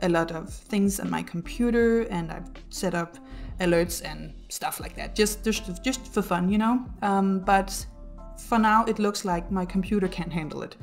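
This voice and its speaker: A Danish woman with a Danish accent, talking through her build in a casual voice-over at home, halting with many ums and self-deprecating laughs. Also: mid-range voice, vocal fry, soft background music. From Denmark. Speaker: YouTuber narrating a build video.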